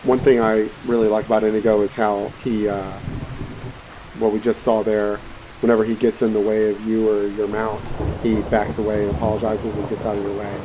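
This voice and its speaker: A man's voice: on the low side, little pitch movement, brisk, mellow, slight background noise, low-quality recording. A man giving casual, rambling, laid-back commentary as he hosts a let's play. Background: game music and rain.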